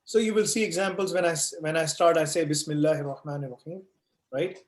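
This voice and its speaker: A man with a slightly high voice talks students through course basics on a video call. He leans on homely examples and keeps asking "right?" to check he is followed. The sound is roomy and echoey. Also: fast talker. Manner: explains with everyday analogies, frequent "right?" and "you know". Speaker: online course instructor answering questions. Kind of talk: live online Q&A session. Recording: echoey room.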